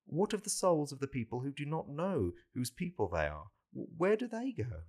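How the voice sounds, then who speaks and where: A man's voice: mid-range voice, very animated, moderate pace, darkish tone, pitched above the performer's own voice. A man voicing an earnest disciple who puts pleading, inquisitive questions to his divine master in a scripture reading. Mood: earnest questioning.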